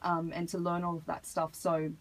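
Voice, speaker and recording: Lower-voiced woman, audible background noise. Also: completely flat delivery, normal speed. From Australia. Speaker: hip hop artist, interview guest. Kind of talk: conversational radio interview answers. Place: radio studio.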